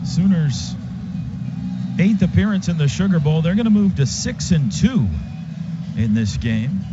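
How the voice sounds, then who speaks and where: A man's voice: on the high side, expressive, unhurried. An American man doing live radio play-by-play of football, in clipped phrases during play and conversationally between plays. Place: stadium radio booth. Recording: dull, noisy live stadium radio broadcast.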